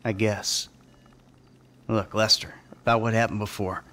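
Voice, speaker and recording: An actor playing a gruff, world-weary middle-aged bank robber in a video game, blunt and terse, with an American accent. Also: male voice, fairly low pitch, level tone, unhurried, slight background noise. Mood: subdued. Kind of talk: video game cutscene acting. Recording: loud game ambience over voice.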